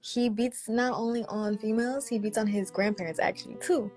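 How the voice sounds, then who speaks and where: Young woman telling a story quickly. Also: on the high side, soft background music. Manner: quick, animated, acts out quoted speech. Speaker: interview guest. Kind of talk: casual interview storytelling.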